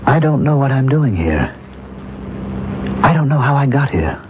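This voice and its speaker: An actor playing a bewildered modern man trapped in another life, halting, earnest and agitated, in radio drama style. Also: medium pitch, very animated. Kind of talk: old-time radio drama acting. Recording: muffled old radio recording with noise.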